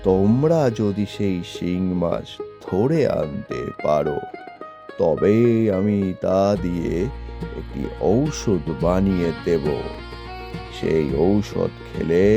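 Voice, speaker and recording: A man playing a grave, solemn village herbal healer in a cartoon, speaking in deliberate, halting phrases. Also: deep voice, expressive, vocal fry, music in the background, full character voice. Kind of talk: cartoon dialogue.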